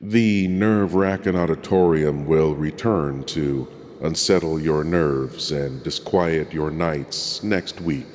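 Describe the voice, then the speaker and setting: A man playing a spooky, theatrical horror-show host, speaking slowly and ominously as he signs off. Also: a bass voice, a little mellow, pitched below the performer's own voice. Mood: ominous, gleeful. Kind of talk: scripted horror-host sign-off. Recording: long cavernous reverb.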